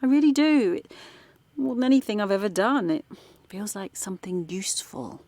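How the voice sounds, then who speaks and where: An actress playing a middle-aged former activist turned art teacher from London, talking softly late at night in a radio drama. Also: female voice, mid-range voice, animated, normal speed, lightly acted. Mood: reflective. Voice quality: soft, hushed late-night talk. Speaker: character in audio drama.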